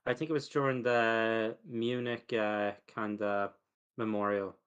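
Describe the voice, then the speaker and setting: A man co-hosting a football watch-along with casual match chat and brief, low-key interjections of agreement. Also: fairly even intonation, measured pace, a bit bright, clean tone, lo-fi sound.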